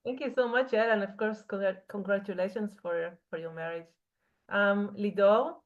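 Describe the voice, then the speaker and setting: A woman's voice: mid-range voice, normal speed, highs cut off. A woman moderating an online class who thanks each speaker briefly and calls on the next. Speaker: course moderator. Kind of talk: calling on participants in an online class.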